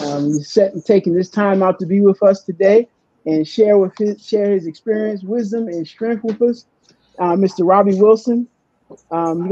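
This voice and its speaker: A podcast host introducing guests in a live online session, speaking haltingly and often restarting phrases. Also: mid-range voice, mellow, dry recording, dull audio.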